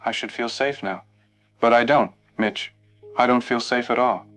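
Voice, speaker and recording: A man's voice: medium pitch, normal speed, fairly bright tone, natural voice. A man plays a weary, frightened night-shift motel clerk who hasn't slept, talking in an anxious, worn voice over faint music. He sounds jumpy and unconvinced by any comfort. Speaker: caller.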